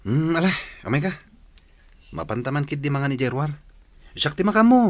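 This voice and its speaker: A man's voice: fairly high pitch, sing-song, moderate pace, no room sound. An actor playing a cocky, evasive young ladies' man in an Ilocano radio drama. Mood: breezy. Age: young adult.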